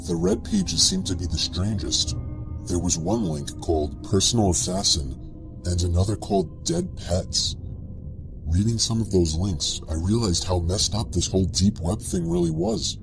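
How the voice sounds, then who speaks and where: A man's voice: low voice, vocal fry. A man reading a scripted first-person scary story as a narrator, with music playing behind him.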